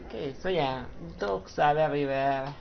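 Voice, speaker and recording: A man's voice: on the high side, moderate pace, vocal fry. A man with a Hungarian accent, thinking aloud as he comments casually on a game he is playing. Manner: thinking aloud, hesitant, chuckling. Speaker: let's play host. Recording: dull lo-fi mic with background noise.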